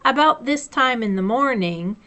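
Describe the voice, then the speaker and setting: A woman voicing a dreamy, simple male bear from a storybook, with a wistful and halting way of talking. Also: a little high, lively intonation, bright, forceful tone.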